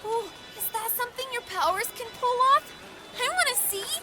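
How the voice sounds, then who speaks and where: A woman plays an eager, friendly young girl in an audio drama. Her voice is extremely high and bubbly, with loud music playing underneath. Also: animated, moderate pace. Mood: eager, curious.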